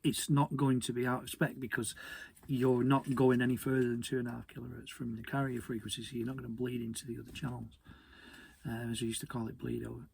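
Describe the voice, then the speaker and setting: A British man giving an informal technical explainer in a conversational, hesitant way, often repeating words, with a husky, gravelly voice. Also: mid-range voice, flat delivery, quick pace, darkish tone, slight background noise. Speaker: hobby radio presenter.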